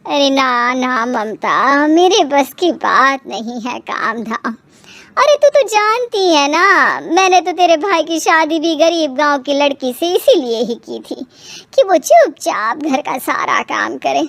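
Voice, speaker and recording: The idle older mum in a cartoon moral tale, waving off chores in a high, swooping drawl, played by a woman. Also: big pitch swings, takes it slowly, a bit bright, dry recording, heavily acted. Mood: dismissive, unbothered. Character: lazy, self-centred older mother, dismissive. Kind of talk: animated moral story voice acting.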